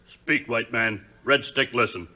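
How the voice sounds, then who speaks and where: A man playing a belligerent war chief in a 1950s audio adventure, speaking in terse, halting, stock broken English. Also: medium pitch, bright, forceful tone. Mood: scornful, threatening. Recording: 1950s record with music behind.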